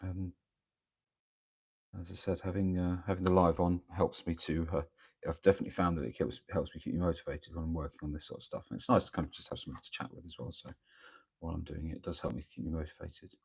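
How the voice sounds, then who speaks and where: A man with a croaky voice from a cold, chatting casually and hesitantly during a morning live stream of his painting, with lots of ums and restarts. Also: deep voice, steady tone, quick pace, darkish tone. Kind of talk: casual live-stream chat while working. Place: home workspace, early morning. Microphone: microphone near the speaker.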